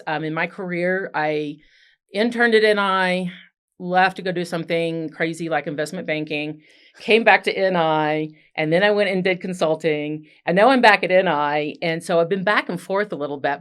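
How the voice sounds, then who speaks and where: A woman in her fifties who is a podcast guest. She speaks loudly and outspokenly and tells her career story in long runs. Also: sits low. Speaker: guest, software engineering leader. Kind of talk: casual podcast interview.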